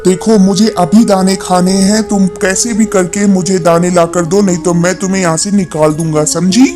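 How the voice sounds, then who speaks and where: A woman's voice: lowish voice, steady tone, moderate pace, dark tone, faint music behind, lightly acted. A woman playing a selfish, demanding father bird in a cartoon moral story, with a domineering manner. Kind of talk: Hindi animated moral story dialogue.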